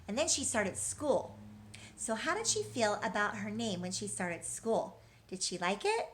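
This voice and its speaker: A woman's voice: mid-range voice, lively intonation, measured pace, a little hiss or hum. A woman leading an interactive read-aloud and lesson for young children, asking questions and answering them in an encouraging, reassuring way.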